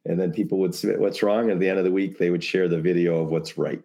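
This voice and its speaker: A man's voice: deep voice, fairly even intonation, fast, creaky, warm tone. A man talking casually as a podcast guest, brainstorming ideas in a rambling way with jokey asides. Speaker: podcast guest, creative compliance consultant.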